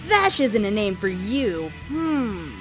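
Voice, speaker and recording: A man's voice: high voice, big pitch swings, slow pace, over music, voice pushed up, full character voice. A man voicing a cheeky, friendly young male hedgehog hero from a fan fiction story.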